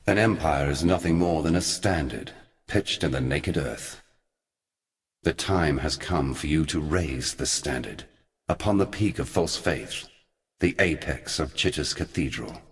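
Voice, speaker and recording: A man playing an ancient, forgotten god in a video game, somber and weary, speaking solemnly and deliberately in archaic, portentous phrases. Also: a bass voice, long reverb tail. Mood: stern exhortation. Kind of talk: scripted video game character dialogue.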